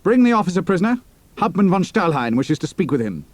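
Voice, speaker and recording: A man's voice: high voice, very animated, fast, dry recording, pitched above the performer's own voice. A man voicing a cool, resourceful young British airman undercover in an audiobook, with clipped, casual officer talk. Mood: breezy. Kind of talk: audiobook character acting.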